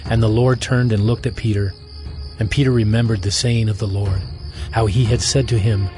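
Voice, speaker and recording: A man narrates a scripture drama in a lowish voice. His scripted reading is set over clear background music. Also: steady tone, normal speed, darkish tone.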